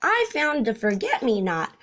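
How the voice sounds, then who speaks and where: A woman voicing an earnest, gentle young male elephant in a children's storybook, with wide-eyed expression. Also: on the high side, wildly swooping pitch, a little slow, voice pushed up, full character voice. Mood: earnest, puzzled. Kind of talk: children's storybook read-aloud.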